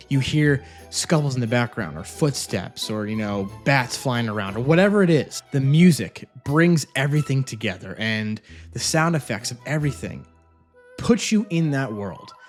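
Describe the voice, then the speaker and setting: A man giving an enthusiastic, casual review as a vlog host, talking into a microphone in a home office. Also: medium pitch. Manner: enthusiastic, gushing. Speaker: audiobook review video host. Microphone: separate microphone with phone camera. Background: music.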